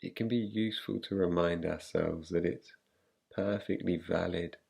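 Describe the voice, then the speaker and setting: A man leads a guided relaxation with a calm, soothing delivery. His voice is very low and creaky, with a dark, mellow tone. He lets long pauses settle between his phrases. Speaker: meditation guide. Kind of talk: guided relaxation, yoga nidra meditation.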